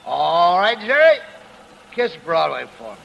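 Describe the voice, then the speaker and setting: A maudlin drunk ex-husband mumbles through a 1940s radio drama. He speaks slowly in a high-pitched male voice, halting and repeating himself in grief. The old recording is clearly noisy. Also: big pitch swings, lo-fi sound, full character voice. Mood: boozy, falsely casual. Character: drunken, grieving ex-husband who has killed.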